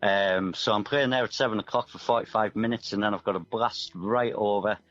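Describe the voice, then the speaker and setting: Low-voiced man, muffled sound. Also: fairly even intonation, fairly quick, a bit bright. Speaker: guest musician. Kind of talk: casual radio interview chat. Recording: dull, muffled audio over music.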